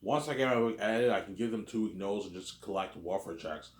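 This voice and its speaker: Deep-voiced rambling man in his thirties. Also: brisk. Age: 30s. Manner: repetitive, keeps adding "obviously" and "man". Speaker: vlogger. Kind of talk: rambling personal monologue. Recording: roomy echo.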